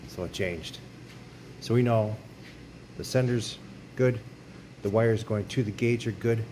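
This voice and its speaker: Low voiced mechanic guy talking thru a fix in his garage, noisy background. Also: a little slow, dark tone. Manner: plain, matter-of-fact explaining while working. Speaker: snowmobile mechanic. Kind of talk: hands-on repair tutorial. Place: indoor garage workshop.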